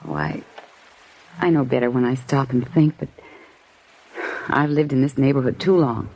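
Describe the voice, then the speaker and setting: A woman's voice: very deep voice, normal speed, a little mellow, a little hiss or hum, low-quality recording. An actress playing a nervous young waitress in an old radio drama, stammering and hesitant as she hides what she knows. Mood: pleading. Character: nervous young woman diner waitress hiding secrets. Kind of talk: 1950s radio drama acting.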